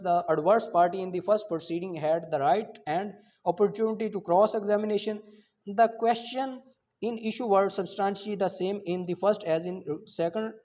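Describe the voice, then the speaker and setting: A man's voice: sits high, darkish tone. A man with a Pakistani accent giving a law lecture, reading formal text aloud and explaining it point by point. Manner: explanatory, mixing English legal terms with Urdu. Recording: dull, muffled lo-fi audio.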